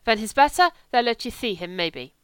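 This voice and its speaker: A woman voicing a louche young German man with a slight lisp, speaking loud, offhand broken English. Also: fairly high pitch, animated, moderate pace, clear, resonant tone, dry recording, lightly acted. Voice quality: lisp from a missing tooth.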